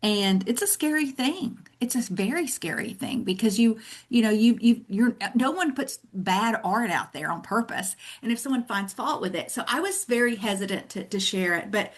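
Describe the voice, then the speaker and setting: A woman's voice: on the high side, expressive, normal speed, hardly any pauses. A middle-aged woman from Tennessee with a Southern American accent, telling her story in an earnest, reflective way as a guest author in a casual interview.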